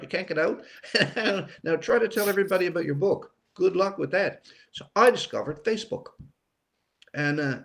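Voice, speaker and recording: An older man, an author talking plainly in short, emphatic phrases in an interview. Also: a little high. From Newfoundland, Canada. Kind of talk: conversational interview.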